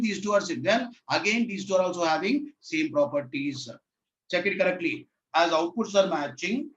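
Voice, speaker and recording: A man's voice: sits high, a bit bright, slight background noise. A man with a sore throat, teaching an online lecture, repeating each point. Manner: repetitive, asks if audible, says sir. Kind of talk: online lecture on state reduction. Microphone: laptop mic. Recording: distant, echoey, dull laptop mic.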